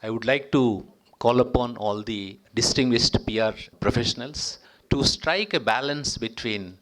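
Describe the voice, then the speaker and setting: A man's voice: medium pitch, measured pace, fairly bright tone. Indian-accented man giving a formal speech. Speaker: diplomat, guest speaker. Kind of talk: public speech to professionals.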